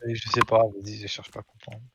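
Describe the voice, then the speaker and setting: A young man with a slightly husky voice, bantering in slang and teasing his friends during a game. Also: on the low side, flat delivery, fast, fairly bright tone. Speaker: gamer playing with friends. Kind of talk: casual banter during gameplay.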